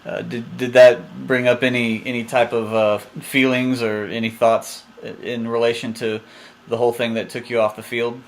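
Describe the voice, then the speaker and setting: A man's voice: mid-range voice, level tone, normal speed, low-quality recording. A man hosting an interview, asking questions casually. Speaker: interview host.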